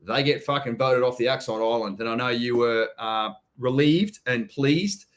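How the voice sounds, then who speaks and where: This is an Australian man hosting a live property chat. His pitch sits in an ordinary middle range, and he talks in a loose, blunt, blokey way, swearing freely and calling people mate. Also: normal speed. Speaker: host. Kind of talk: casual live-stream chat.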